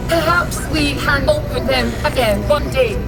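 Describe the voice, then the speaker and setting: A woman playing a calm, courteous robot envoy with formal speech in a video game cutscene. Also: high voice, measured pace, over loud music. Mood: calm, gentle.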